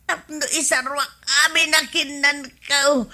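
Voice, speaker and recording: Slow, bright-voiced moaning glutton woman. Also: high voice, fairly even intonation, full character voice. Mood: groaning. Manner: moaning, drawn-out complaining. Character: gluttonous woman, whiny, groaning, dramatic. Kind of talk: Ilocano radio comedy drama acting.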